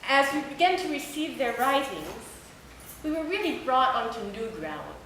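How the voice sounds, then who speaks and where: A woman, a book editor, giving a measured, prepared literary introduction at a public event, with deliberate phrasing. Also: high voice, big pitch swings, fairly bright tone. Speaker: book editor speaking at a launch. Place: echoey indoor room at a public event. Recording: echoey room recording with clear background noise.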